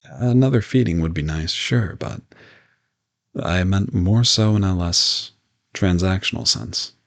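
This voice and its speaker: Male actor as a courteous vampire, deep creaky voice, reassuring, playful, intimate audio roleplay. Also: measured pace. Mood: fond, sincere. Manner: soft-spoken reassurance, politely formal with teasing asides.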